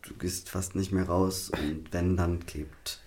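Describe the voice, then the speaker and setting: An actor playing a worried, hurt male best friend with a creaky voice, halting and reproachful in a naturalistic drama. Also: low-pitched, flat delivery, darkish tone. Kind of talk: naturalistic audio drama dialogue.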